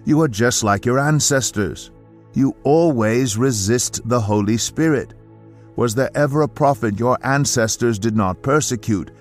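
A man giving a scripted dramatic reading of scripture in a solemn, deliberate manner. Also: medium pitch. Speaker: Bible narrator. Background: faint music.

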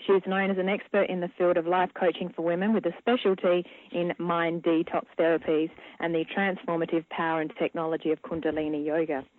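A woman's voice: lowish voice, little pitch movement, a little fast, few pauses, no echo. A woman working as a radio interviewer, introducing and questioning a guest in a gracious, polite manner. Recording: phone-like narrow radio sound.

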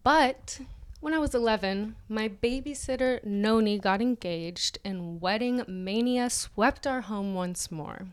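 A woman co-hosting a podcast, reading an essay aloud in a theatrical way and breaking off into casual banter. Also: mid-range voice. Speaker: podcast co-host.

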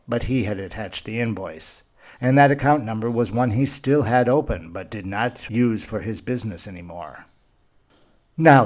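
A man hosting a podcast, reading scripted first-person narration in measured, formal phrasing. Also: on the low side, darkish tone. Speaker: podcast host.

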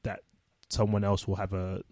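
A man co-hosting a casual chat, a social worker speaking in a reflective, measured way. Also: low voice, monotone, warm tone, no room sound, studio quality. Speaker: social worker, podcast co-host.

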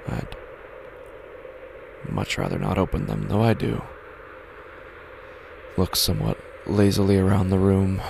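An actor playing a weary, troubled man in an audio drama monologue, brooding and halting, often hushed. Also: deep voice, creaky, background noise, full character voice. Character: weary, troubled man brooding over his warped perception.

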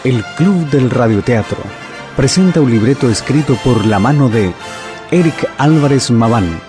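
A man with a medium-pitched voice presents a radio drama. He reads like a programme announcer, with music clearly heard behind him. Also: lively intonation, moderate pace. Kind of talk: scripted title announcement.

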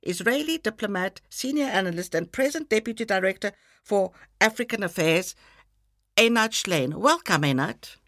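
A woman from Cyprus hosting a podcast interview, asking her guest questions in a gentle, measured way. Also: mid-range voice, lively intonation, dry recording, studio quality. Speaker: podcast host.

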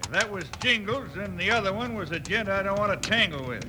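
A nervous outlaw with a wary drawl, played by a man. His voice sits a little high. The old radio recording carries a steady hiss. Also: a little fast, natural voice. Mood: uneasy. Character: nervous adult male outlaw brother. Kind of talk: 1950s radio drama acting.

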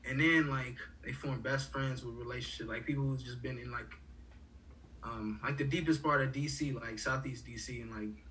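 A man's voice: mid-range voice, steady tone, moderate pace, bright, forceful tone, far from the mic, a little hiss or hum, low-quality recording. A young man from Haiti, a college student, talking casually in a rambling way with many fillers and restarts. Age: young adult. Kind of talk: casual conversation.

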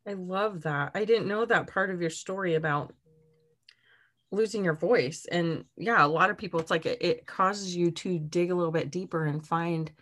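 Upbeat woman host, lowish voice. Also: moderate pace, fairly bright tone. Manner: upbeat, laughs often. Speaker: podcast host. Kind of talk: casual interview chat.

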